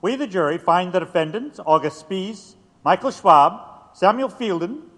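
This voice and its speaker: A man's voice: sits high, animated, measured pace, fairly bright tone, full character voice. An actor playing a jury foreman in an audio drama, formally declaiming a verdict. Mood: solemn, formal. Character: tense male jury foreman announcing a verdict. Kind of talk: dramatized courtroom announcement. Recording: long hall-like reverb.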